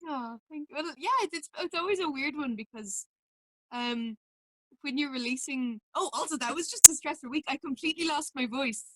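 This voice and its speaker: Animated young Irish woman musician. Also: high voice, normal speed, poor audio. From Mayo, Ireland. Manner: animated storytelling, self-deprecating. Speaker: musician guest. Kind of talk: casual interview chat.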